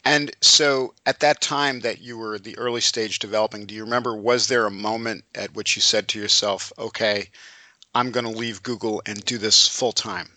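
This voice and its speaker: A man's voice: fairly low pitch, fairly even intonation, moderate pace, pushed and bright, no room sound. A man hosting a podcast who asks probing, conversational interview questions.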